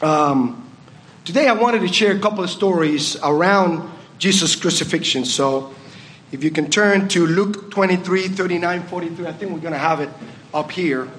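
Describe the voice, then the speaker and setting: A man's voice: sits high, normal speed, a bit bright, a little hiss or hum, rough recording. A man with a Puerto Rican accent giving a reflective communion meditation at a church service and asking the congregation questions. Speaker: communion speaker.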